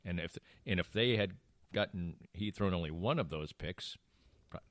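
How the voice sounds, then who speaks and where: A man's voice: deep voice, moderate pace, a bit bright, dry recording. An older man hosting a casual podcast, telling anecdotes in a rambling way and restarting his sentences mid-thought. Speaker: podcast host.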